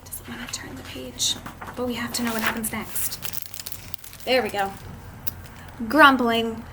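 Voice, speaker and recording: Woman storyteller for children, slightly high and unhurried, expressive with conversational asides, clear background noise. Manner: expressive, drawn-out reading, talking to young listeners. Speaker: read-aloud storyteller. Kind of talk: picture-book read-aloud for children with chatty asides. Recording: clearly noisy amateur recording.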